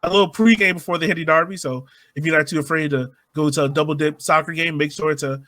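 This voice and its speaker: Male podcast host, informal and slangy, with a bright, fairly high voice for casual sports chat. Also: fairly even intonation, quick pace, dry recording.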